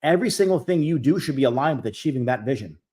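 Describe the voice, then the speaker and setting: Man in his forties, host of a coaching live Q&A, direct and emphatic, mid-pitched. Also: lively intonation, fast talker, darkish tone, breathy edge. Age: 40s. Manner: direct, emphatic, repeats key points.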